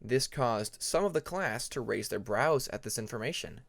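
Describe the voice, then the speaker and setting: A man narrating a scripted fantasy story as an audiobook reader, with deliberate, dramatic phrasing. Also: mid-range voice, animated, gravelly, fairly bright tone, dry recording. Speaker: audiobook narrator of fan fiction.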